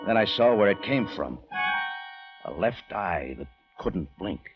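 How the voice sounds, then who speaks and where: A man's voice: deep voice, expressive, a little slow. An actor playing a tough, unflappable American adventurer who tells his own case in clipped, wry 1940s radio style. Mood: brooding narration. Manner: clipped, wry, hard-boiled. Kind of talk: 1940s radio detective acting and first-person narration. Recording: muffled old radio broadcast with music.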